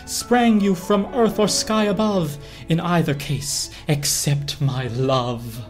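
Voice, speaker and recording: A woman's voice: low voice, takes it slowly, soft background music. A woman voicing a lovestruck daisy that gushes ornate, pleading rhymed verse in a dramatic storybook reading. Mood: smitten courting.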